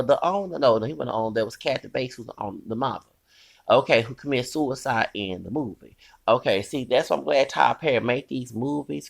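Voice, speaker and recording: A man's voice: medium pitch, vocal fry, fairly bright tone. A man, ranting in a heated, rambling commentary and scolding his critics with curses. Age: 40s.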